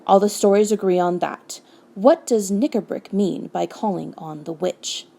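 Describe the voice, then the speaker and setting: A woman's voice: mid-range voice, moderate pace, gravelly, dark tone. A woman voicing a learned male doctor in a storybook, alarmed, urgent and admonishing.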